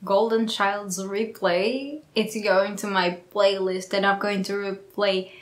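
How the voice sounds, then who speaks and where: A woman's voice: medium pitch, fairly even intonation, takes it slowly. A young woman whose first language is Portuguese, giving gushing, exclamatory reaction commentary at home, laughing often. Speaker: K-pop reaction vlogger. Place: small bright room at home, indoors. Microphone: earphone headset mic. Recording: roomy home echo with faint music behind.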